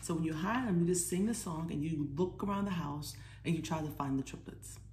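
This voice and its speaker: A woman's voice: low voice, brisk, a little mellow. An American woman in her forties talking casually to children as a storytime presenter, encouraging them and asking questions. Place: small living room at home, indoors. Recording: echoey room with clear background noise.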